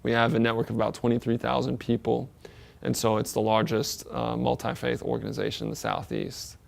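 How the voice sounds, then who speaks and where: A young man from Egypt speaks in a steady, level tone with a strongly gravelly edge to his voice. He talks thoughtfully and measuredly, pausing on fillers and restarting his sentences as he explains in an interview. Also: medium pitch. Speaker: community organizer. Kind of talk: interview monologue.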